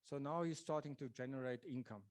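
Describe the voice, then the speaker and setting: A man giving a public lecture presentation, talking in a thoughtful way and pausing often with fillers and restarts. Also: medium pitch, no echo. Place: lecture venue.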